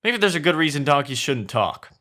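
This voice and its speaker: Man acting out grumpy ogre, blunt, sarcastic, emphatic delivery, forceful bright tone, storybook comedy. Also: on the high side, big pitch swings, a little fast. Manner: exasperated, emphatic.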